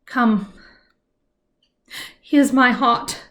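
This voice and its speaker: Woman playing a despairing young woman, fairly high. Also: drawn-out words, a bit bright. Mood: anguished resolve. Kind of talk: Shakespearean tragic monologue.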